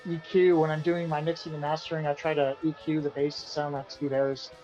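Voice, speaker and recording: A man, the band's singer and guitarist, answering interview questions in a relaxed, rambling way and often repeating himself. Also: a little high, fairly quick, lo-fi sound, soft background music. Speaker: band vocalist and guitarist being interviewed.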